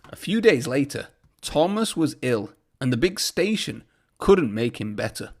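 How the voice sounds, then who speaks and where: A man's voice: mid-range voice, sing-song, a little slow. A man narrating a children's storybook aloud as a storyteller.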